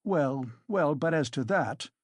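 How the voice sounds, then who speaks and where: A reader voicing a pompous, reproachful middle-aged man who speaks smoothly and formally. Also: medium pitch, animated, slow, no echo, clean studio sound.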